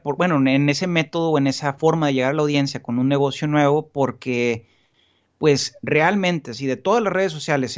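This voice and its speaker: A man talks at length in an earnest, rambling way, circling back with restarts and fillers. His voice sits slightly high, and the recording sounds dull and lo-fi. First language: Spanish. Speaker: guest. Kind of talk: casual podcast conversation.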